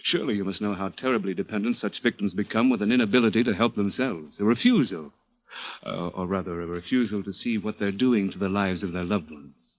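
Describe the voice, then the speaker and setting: Man acting low, scheming young American killer. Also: fairly quick, lo-fi sound, lightly acted. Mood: insistent, smooth. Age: young adult. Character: cunning, controlling young man, a murderer posing as hospital interviewer. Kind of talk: radio thriller acting with first-person narration.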